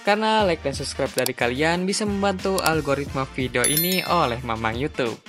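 A man's voice: a little high, expressive, brisk. A young man narrating a tutorial in an informal, chatty, slangy way as a YouTube host. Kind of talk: casual screen-recording tutorial narration. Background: background music.

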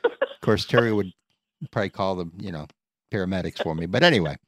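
A man hosting a radio talk show, relaxed and joking in a casual interview with a caller. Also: sits low, fast, dry recording. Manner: relaxed, joking, laughs easily. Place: radio studio. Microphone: studio microphone.

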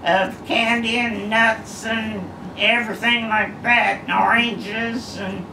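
Slow-talking elderly woman recalling the past. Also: fairly high pitch, fairly even intonation, gravelly. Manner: slow, rambling storytelling with many uhs. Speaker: great-grandmother telling family stories. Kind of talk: casual reminiscing. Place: living room at home, seated in armchair. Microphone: camera across the room. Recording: echoey room, far camera, background hum.

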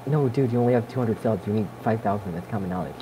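A man's voice: on the low side, fast talker, mellow. A young man chatting casually with a friend during a game, teasing and rambling. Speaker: co-player in gaming video. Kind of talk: casual chat while gaming. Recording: noisy voice chat recording. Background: clear background noise.

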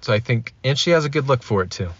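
A man's voice: mid-range voice, animated, fast talker. A man chatting casually as a podcast guest. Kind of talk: casual chat. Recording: dull lo-fi sound, faint noise.

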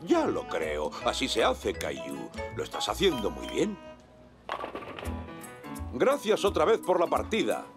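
A man voicing a gentle, encouraging old grandfather in a children's cartoon, dubbed in Castilian Spanish. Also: mid-range voice, huge pitch swings, a little slow, pauses often, over music. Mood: cheerful, proud. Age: older. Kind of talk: animated children's cartoon dubbing.

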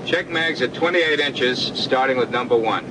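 An actor playing an experienced, practical flight instructor in a 1940s training film, giving brisk, commanding instructions. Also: male voice, medium pitch, noisy background, close to an everyday voice. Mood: instructive. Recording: old film soundtrack, loud cockpit engine drone.

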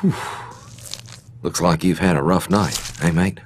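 A brash mercenary. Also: male voice, deep voice, measured pace. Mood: cocky. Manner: brash, joking. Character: cocky foul-mouthed male mercenary.

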